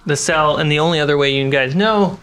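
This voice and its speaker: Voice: medium pitch, brisk, fairly bright tone, a little hiss or hum. A game master narrating a tabletop roleplaying adventure to players, describing scenes and calling for rolls.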